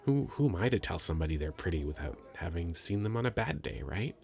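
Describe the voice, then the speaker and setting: A man's voice: sits low, sing-song, fast talker, gravelly, darkish tone, full character voice. A man playing a shy, sweet, nervous young nerd in an intimate ASMR roleplay, stammering and rambling self-consciously. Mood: awkward, sweet. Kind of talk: scripted ASMR roleplay, intimate conversational acting.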